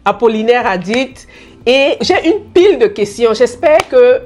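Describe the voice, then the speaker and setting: A woman's voice: fairly high pitch, lively intonation, a little slow, a little mellow. A woman hosting an interview, laughing often and asking many questions. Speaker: host. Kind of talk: casual interview.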